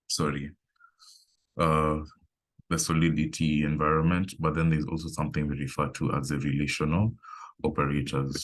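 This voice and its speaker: A man with a remarkably deep, low voice leads an online programming class. He talks at an easy pace, stopping to think and filling gaps with "um" as he works through examples. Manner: relaxed, thinking aloud with pauses and fillers. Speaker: coding instructor. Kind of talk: live online coding lesson.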